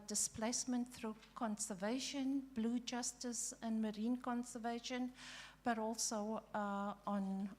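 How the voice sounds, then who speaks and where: A woman chairing a conference panel, speaking haltingly with many restarts and fillers. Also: on the high side, a little mellow. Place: conference hall.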